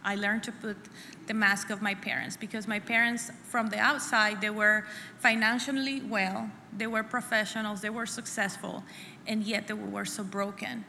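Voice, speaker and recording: Middle-aged woman, bright and sharp tone, earnest testimony speaker in a reverberant church hall. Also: medium pitch, steady tone, gravelly. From El Salvador. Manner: earnest and halting, repeats phrases. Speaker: conference speaker sharing her testimony. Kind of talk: personal testimony at a church women's conference. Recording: echoey hall with faint room noise.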